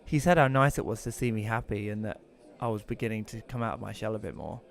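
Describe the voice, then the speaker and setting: A man's voice: lowish voice, quick pace, creaky. A man playing an awkward, reclusive widower who narrates his own audio drama in an informal, conversational way. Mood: reflective narration. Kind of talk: first-person audio drama narration and dialogue.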